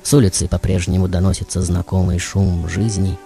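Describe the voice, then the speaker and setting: A man playing a passionate aging tango composer in a poetic, theatrical radio monologue with dramatic pauses. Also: deep voice, flat delivery, gravelly, voice pushed down. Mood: wistful, poetic. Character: passionate aging male tango composer looking back on his life. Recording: loud music behind the voice.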